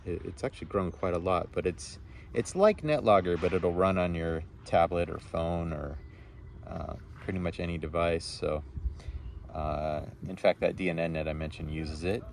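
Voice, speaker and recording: A low-voiced man makes casual conversation as an amateur radio operator. He rambles in a friendly, appreciative way. A faint noisy hum sits behind his voice. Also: normal speed, creaky, darkish tone. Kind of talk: casual two-way radio chat.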